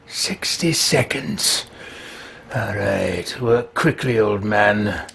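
A man's voice: on the low side, drawn-out words, gravelly, background noise, exaggerated voice. A man roleplaying an elderly, earnest wizard in a video game, talking to himself in formal, old-fashioned, theatrical language. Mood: pensive, muttering. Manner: formal, archaic, theatrical self-talk.

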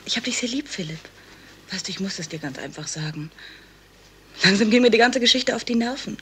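A woman's voice: mid-range voice, animated, fairly quick, a bit bright, slight background noise, close to an everyday voice. An actress playing an anxious, affectionate woman confiding in her partner in a 1970s radio crime play. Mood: relieved, affectionate. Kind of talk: 1970s German radio crime drama acting.

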